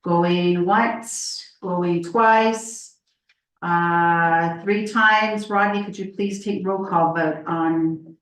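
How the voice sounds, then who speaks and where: Lower-voiced woman chairing a meeting. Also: steady tone, a bit bright, soft background music. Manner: measured, procedural, with frequent fillers. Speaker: committee chair. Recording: echoey meeting room.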